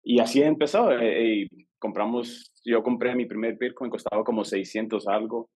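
A man with a Salvadoran accent and a slightly husky voice, pitched in a middle range, tells his own story as a podcast guest. He talks in a lively, animated way and acts out the lines he quotes from others. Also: moderate pace. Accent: Salvadoran Spanish. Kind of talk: casual storytelling interview.

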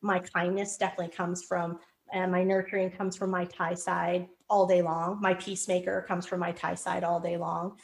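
A woman guest in an interview, telling lively personal stories with frequent restarts. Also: lowish voice, normal speed, creaky. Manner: animated storyteller, frequent restarts. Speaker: podcast guest. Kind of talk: conversational interview.

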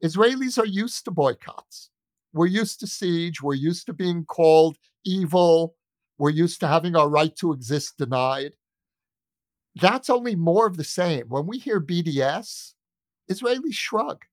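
An American man in an earnest political discussion, speaking as a guest with a deliberate, emphatic manner that repeats phrases for weight. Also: fairly high pitch, lively intonation, no echo, studio quality. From United States. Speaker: journalist and author interviewed as guest.

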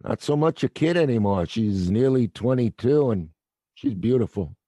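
A man playing a gruff, kindly night watchman. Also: mid-range voice, animated, moderate pace, mellow, no echo, clean studio sound. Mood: confiding. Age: middle-aged. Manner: wry, world-weary, fatherly. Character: middle-aged male theater night watchman, gruff but kind. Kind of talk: audio play acting.

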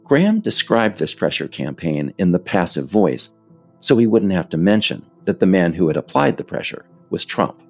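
A low-pitched American man narrates from a script with a creaky edge. His intonation moves widely as he lays out each point in a measured, emphatic way, with faint music underneath. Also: sing-song, a little mellow. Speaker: author narrating own work.

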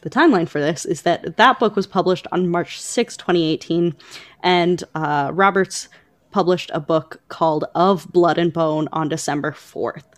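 A woman co-hosting a podcast, talking through book news conversationally with hesitant pauses as she picks her words. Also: fairly low pitch, dry recording. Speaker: podcast co-host.

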